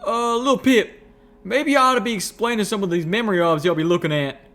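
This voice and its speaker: A man's voice: sits high, fairly quick, a bit bright, pitched above the performer's own voice. A man voicing a folksy, blunt young pegasus with an in an audiobook story. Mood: prodding. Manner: drawling, folksy, incredulous.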